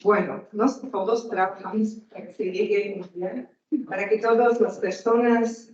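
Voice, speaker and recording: Woman, Slovak accent, Portuguese traces, hesitant, slow, distant mic, dull sound. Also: medium pitch, a little mellow, slight background noise. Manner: hesitant, searching for words in a non-native language. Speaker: guest speaker. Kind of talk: conference talk. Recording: echoey hall, distant mic, muffled.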